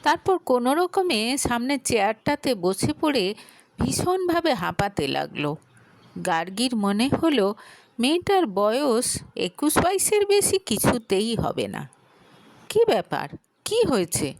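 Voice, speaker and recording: A woman narrating a short story in a dramatic, expressive and unhurried manner. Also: on the high side, dry recording, rough recording.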